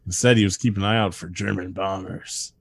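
A man's voice: sits low, steady tone, a little fast, vocal fry, slight background noise. A man hosting a comedy podcast, reading strange stories aloud and breaking into laughter and asides. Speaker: podcast host reading a countdown list.

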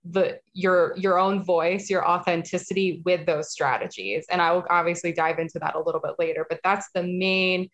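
A woman guest in a casual interview, talking in a calm, even way that she calls monotone. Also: on the low side, moderate pace, few pauses. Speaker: guest, marketing specialist.

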